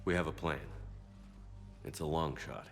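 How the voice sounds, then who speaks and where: An actor playing a steady, stoic military commander in a video game, terse and earnest in his delivery. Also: a bass voice, measured pace, soft background music. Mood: grim. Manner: terse, earnest, matter-of-fact. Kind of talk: video game cinematic dialogue acting.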